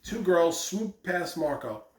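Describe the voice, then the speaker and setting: An American man with an upbeat, deliberate, emphatic delivery, giving school announcements and reading aloud to children as a principal. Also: high-pitched, expressive. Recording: roomy, distant mic with background noise.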